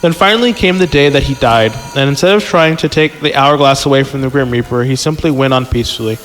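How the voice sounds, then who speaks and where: A man's voice: medium pitch, fast talker, fairly bright tone. A man reading a story aloud informally as a podcast host, stumbling over lines and tossing in joking asides.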